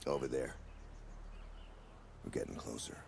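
An actor playing a gruff, world-weary muscular survivor in a video game, blunt and sardonic, with a gravelly voice. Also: male voice, extremely low pitch, measured pace, darkish tone, a little hiss or hum, heavily acted. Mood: impatient. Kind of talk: video game cutscene acting. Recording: music behind the voice. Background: music.

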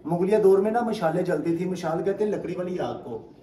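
A man's voice: high-pitched, lively intonation, fairly quick, dark tone, far from the mic, a little hiss or hum. Man guiding a tour in echoey stone halls. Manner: explanatory, asks if listener follows. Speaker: tour guide. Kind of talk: guided tour explanation. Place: inside an old stone fort, in and out of doors.

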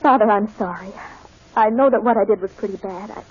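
A woman's voice: mid-range voice, brisk, slightly rough, natural voice. A woman playing a polite but determined daughter in her twenties in 1940s radio drama. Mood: firm. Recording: muffled old radio recording.

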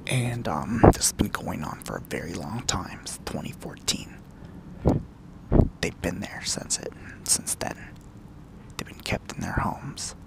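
A man speaking in a hushed, halting, unscripted monologue, breathy and gravelly, with frequent pauses. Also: sits low, expressive, low-quality recording. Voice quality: breathy whispering with gravelly fry.

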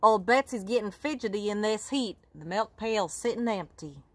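A woman voicing a calm farmer father who speaks in an easygoing, fatherly way. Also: medium pitch, normal speed, natural voice.

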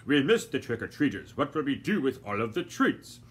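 A man voicing a stern, grumpy gentleman doctor who scolds curtly. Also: mid-range voice, very animated, brisk, slightly rough. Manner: curt, scolding.

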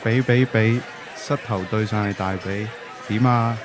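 A man's voice: on the low side, fairly even intonation, unhurried, lightly acted. A man playing a blunt, teasing young best friend in a radio drama. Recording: music and crowd chatter behind.

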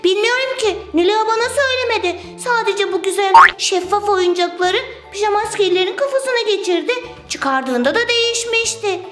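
A very high, childlike voice put on by a performer for an eager, curious little cartoon toy character. The delivery is playful and exclamatory, made for a children's skit. It sounds in an echoey room with music behind. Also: normal speed, few pauses. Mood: friendly. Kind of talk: children's toy play skit, acted dialogue. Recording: roomy echo with music behind.

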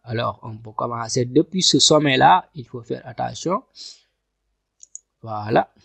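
A man giving a screen-recorded software tutorial, talking through each click step by step and often repeating himself. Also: medium pitch, expressive, measured pace, creaky, slightly rough, dry recording. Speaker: software instructor. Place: at a computer, voice over screen capture.

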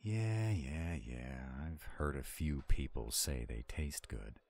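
A man acting a very deep-voiced grumpy were-dragon. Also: very animated, slow pace, darkish tone, heavily acted. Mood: grumpy, reluctant. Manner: grumbling, reluctant, defensive. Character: grumpy, self-doubting male were-dragon cook, gruff but tender. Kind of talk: roleplay audio drama.

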